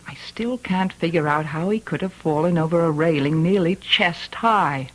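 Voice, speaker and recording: A woman acting as a composed but worried confidante in an old-time radio mystery, speaking earnestly and deliberately. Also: lowish voice, natural voice, rough recording. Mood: troubled. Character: composed, worried woman confiding about her unstable housemate. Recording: old radio drama recording.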